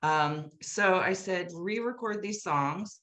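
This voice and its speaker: A woman's voice: fairly low pitch, slow, fairly bright tone. A middle-aged woman chattily telling stories as an interview guest, often acting out remembered dialogue. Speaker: guest, musical playwright. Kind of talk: animated interview storytelling. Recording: roomy, echoey sound.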